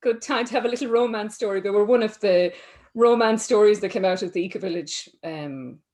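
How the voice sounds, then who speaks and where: A woman's voice: medium pitch, a little fast, darkish tone. A woman from East Galway with an Irish accent, a cheerful guest who laughs easily. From East Galway, Ireland. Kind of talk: casual interview answers.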